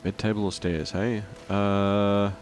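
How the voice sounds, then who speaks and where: A man with a croaky, flu-hoarse voice chatting casually while streaming a game and greeting viewers. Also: on the low side, little pitch movement, slow, music in the background. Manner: rambling, reads out chat and greets viewers. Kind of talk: casual gameplay stream chat.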